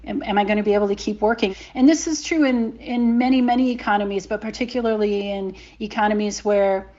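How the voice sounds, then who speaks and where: A woman's voice: medium pitch, brisk, dark tone, lo-fi sound. A woman explaining thoughtfully and emphatically in a podcast commentary.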